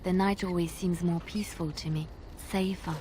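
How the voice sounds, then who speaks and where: A woman playing a gentle, trusting travelling companion in a fantasy game, confiding wistfully. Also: lowish voice, fairly even intonation, moderate pace, a little hiss or hum, natural voice. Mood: wistful, tender. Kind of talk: intimate game dialogue acting.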